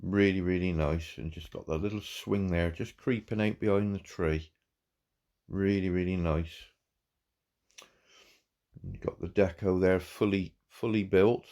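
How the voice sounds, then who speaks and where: A man, croaky from a cold, giving halting, warmly praising commentary on a farm game. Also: sits low, fairly even intonation. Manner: halting, repeats words, warm praise. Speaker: farm game channel host. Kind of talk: casual gameplay commentary.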